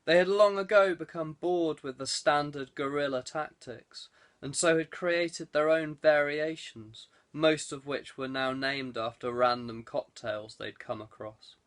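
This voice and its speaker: A man's voice: a little high, unhurried, fairly bright tone. A man reading scripted fiction as an audiobook narrator. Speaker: audiobook reader.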